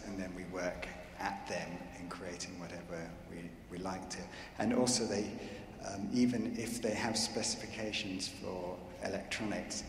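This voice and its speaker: A man's voice: low voice, fairly bright tone. A man who handles the electronics, explaining at a handheld mic in a church, rambling and full of fillers. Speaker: electronics collaborator answering questions. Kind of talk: unscripted Q&A answers. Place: large church. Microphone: handheld microphone. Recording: echoey church with faint noise.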